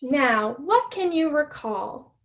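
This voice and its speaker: Woman reading as a patient high-voiced storybook mom. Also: expressive, takes it slowly, fairly bright tone, exaggerated voice. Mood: patient instructing. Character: caring, patient mommy teaching her daughter hygiene, storybook.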